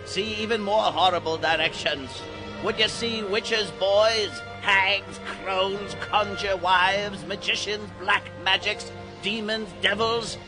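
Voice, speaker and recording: A man's voice: high voice, steady tone, slow, rough recording. An actor playing a theatrical, mysterious older man who guides boys through history, declaiming grandly in an audio drama. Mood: dramatic, rousing. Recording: loud music bed behind the voice.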